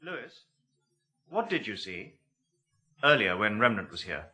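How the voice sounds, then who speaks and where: An actor playing an inquisitive, concerned gentleman in an audio drama, putting brief, probing questions. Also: fairly low pitch, big pitch swings, measured pace, husky, faint music behind, full character voice.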